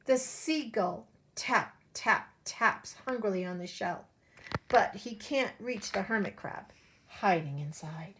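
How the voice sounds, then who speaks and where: A woman preschool teacher telling a story to young children in an expressive way, with simple phrasing and direct questions. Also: mid-range voice, slow.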